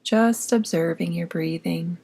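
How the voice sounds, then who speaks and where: A woman narrating a guided meditation in a soft, breathy voice, with a soothing, gently instructing manner. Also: lowish voice, unhurried. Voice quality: soft, breathy, often near whisper.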